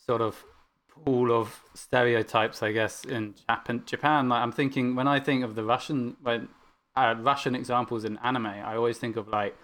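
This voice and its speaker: A man's voice: medium pitch, fairly even intonation, moderate pace, a bit bright. A man in casual podcast conversation, talking in a rambling way with many fillers and restarts, and blunt about what he dislikes. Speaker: podcast co-host discussing anime. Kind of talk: casual chat.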